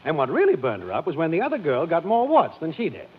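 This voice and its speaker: A folksy American neighbour in vintage radio comedy, dry and teasing. His high voice swings widely in pitch, full of self-satisfied schemes. It comes through a muffled, slightly noisy old recording. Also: wildly swooping pitch, fast. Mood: droll. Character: wry, teasing, self-pleased male neighbour and pal. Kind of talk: 1940s radio sitcom acting.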